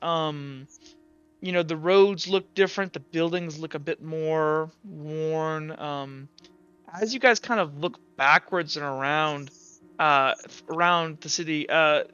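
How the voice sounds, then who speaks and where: Slow, halting man running a tabletop game. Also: fairly high pitch, bright, forceful tone, smooth. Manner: halting, informal, pauses to think. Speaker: game master. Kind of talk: improvised tabletop game narration, casual. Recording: slightly dull audio with faint music. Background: faint music.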